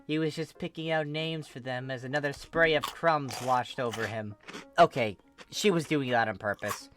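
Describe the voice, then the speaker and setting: A narrator giving an expressive amateur reading of fan fiction. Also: mid-range voice, normal speed, soft background music.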